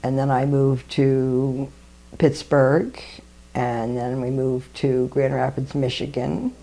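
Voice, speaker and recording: A woman's voice: rumbling low, level tone, slow pace, mellow, poor audio. A woman in her seventies who laughs easily and exclaims while answering interview questions. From Brooklyn. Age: 70s. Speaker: interviewee, retired teacher.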